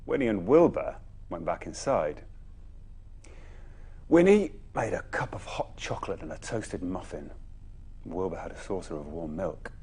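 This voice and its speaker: Husky, very animated male bedtime storyteller. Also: low voice. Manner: dramatic pauses, emphatic phrasing. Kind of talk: expressive children's story narration.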